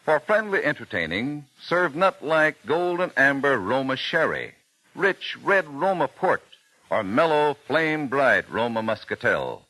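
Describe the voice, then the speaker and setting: A man's voice: medium pitch, sing-song, a little slow, sharp tone, rough recording. A man announcing a sponsor's message in polished, emphatic 1940s radio advertising style.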